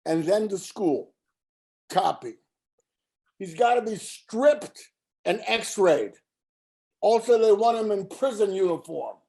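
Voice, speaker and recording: A woman acts a security guard in a script read. The voice is mellow and gravelly, with slow, procedural delivery. It stays clipped and businesslike. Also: mid-range voice, lively intonation. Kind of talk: screenplay table read. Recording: virtual table read over video call.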